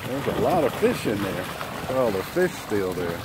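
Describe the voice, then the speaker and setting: A man's voice: on the low side, sing-song, moderate pace. A man giving casual, off-the-cuff commentary as he films a vlog outdoors on a handheld phone. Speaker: vlogger filming and narrating. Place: outdoors on the bank of a fish pond. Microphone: handheld phone, built-in microphone. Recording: very noisy outdoor phone video, wind noise. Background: loud wind and outdoor ambience.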